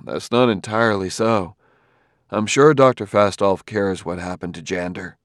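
A man's voice: on the low side, moderate pace, no room sound, clean studio sound, close to an everyday voice. A man voicing a weary, dogged male investigator in an audiobook novel, blunt and resigned yet earnest and tender. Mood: gentle, reassuring.

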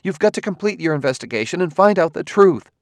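A man's voice: sits high, lively intonation, fast, no echo, pitched above the performer's own voice. A man voicing an earnest, wounded, idealistic woman who pleads insistently in an audiobook novel. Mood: urgent pleading. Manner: earnest, insistent, breaking into hushed speech.

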